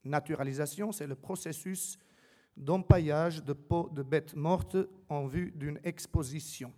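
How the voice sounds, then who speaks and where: A man's voice: on the high side, warm tone. Wry man speaking in a reverberant hall. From Albania. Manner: wry, ironic humour; rhetorical questions and emphatic repetition. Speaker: invited speaker. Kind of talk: public talk, semi-improvised.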